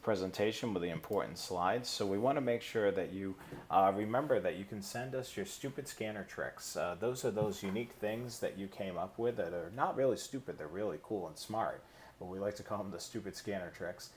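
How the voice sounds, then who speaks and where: A man co-hosting a hobby live stream in a casual, informal, halting presenting style. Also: on the low side, lively intonation, slight background noise.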